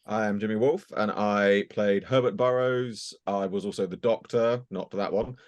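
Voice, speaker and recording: A man's voice: on the low side, fairly even intonation, normal speed, dry recording. A man, a voice actor, talking casually as a podcast guest about himself. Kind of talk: casual self-introduction.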